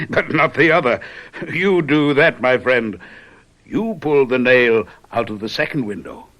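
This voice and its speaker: Radio mystery sleuth: self-assured gentleman, patronizing, musing, swooping melodic delivery, medium register. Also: mid-range voice, animated, a little slow, poor audio. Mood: condescending, probing. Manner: pompous, teasing, hesitant musing. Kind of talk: radio mystery drama acting.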